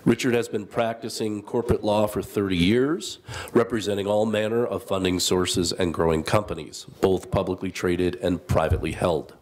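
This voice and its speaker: Low-voiced man reading panel introductions. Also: fairly even intonation, moderate pace, darkish tone. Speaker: panel moderator.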